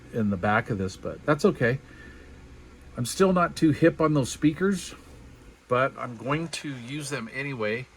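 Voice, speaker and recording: A man's voice: medium pitch, slight background noise. A man giving a casual, unscripted hobbyist show-and-tell in a folksy, colloquial, self-deprecating way. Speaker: hobbyist showing a DIY radio build.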